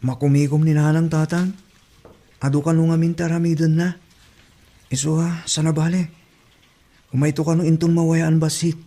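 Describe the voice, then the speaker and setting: A man's voice: a little high, little pitch movement, normal speed, long pauses, mellow, lightly acted. A man with an Ilocano accent playing a dutiful, worried young son in an audio drama, speaking earnestly and respectfully. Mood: respectful, reassuring.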